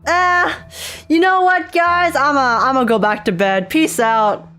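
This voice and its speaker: A woman streaming a game, giving casual, chatty and expressive reaction commentary as she plays. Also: high-pitched, unhurried. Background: game music.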